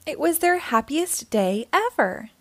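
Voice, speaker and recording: A woman hosting a storytime, reading a children's picture book aloud in an expressive, theatrical way. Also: sits high, a little slow, no echo. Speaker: storytime host and reader.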